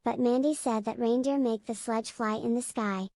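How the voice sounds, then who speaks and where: Small girl of five played by a female performer: innocent, earnest, highish voice, audio drama, dry studio sound. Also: fairly even intonation, normal speed, mellow, no echo, lightly acted. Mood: curious, hopeful. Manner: simple, earnest childlike talk. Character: innocent, curious five-year-old English girl.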